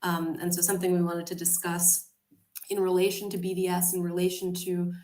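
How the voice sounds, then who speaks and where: A woman interviewer thanking the guest and setting up the next topics in an even, steady way. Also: on the low side, fairly quick. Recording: echoey, distant from the mic.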